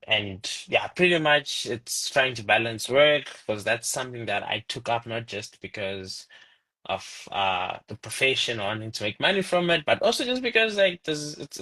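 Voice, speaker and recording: A man in his twenties from Zimbabwe, a guest telling stories in a casual interview chat over a video call, with big lively pitch jumps. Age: 20s.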